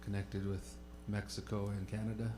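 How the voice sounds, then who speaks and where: A man introducing himself on a panel in a laid-back, low-key way. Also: flat delivery, moderate pace, over music. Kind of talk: casual self-introduction.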